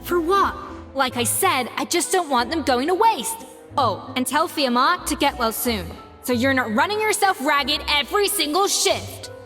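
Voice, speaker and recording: A woman playing a proud, exacting young chef in an anime game, brisk, frank and self-assured. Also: sits high, animated, pushed and bright, soft background music. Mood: brisk, dismissive.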